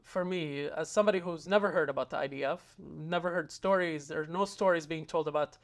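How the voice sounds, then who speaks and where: A man's voice: fairly high pitch, fairly quick. A young man from Israel, an interview guest telling a personal story in an earnest way, with frequent hesitant fillers and restarts.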